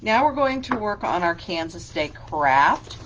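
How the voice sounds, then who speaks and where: A woman librarian talks children through a craft, slow and patient, stopping to ask simple questions. Her voice sits a little low. A faint hiss runs under the recording. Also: sing-song, fairly bright tone, rough recording. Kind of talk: children's story time craft lesson.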